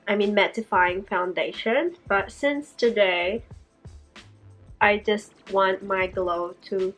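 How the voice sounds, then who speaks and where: A young woman vlogger, sick and congested, with a raspy voice, talking through a casual makeup tutorial with heavy breathing. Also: mid-range voice, a bit bright. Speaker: beauty vlogger.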